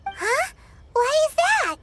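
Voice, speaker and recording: A woman voicing a tiny, childlike floating mascot companion in a video game, squeaky and excitable. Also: slow, exaggerated voice. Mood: curious, chatty. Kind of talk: English video game voice acting. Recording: game music behind.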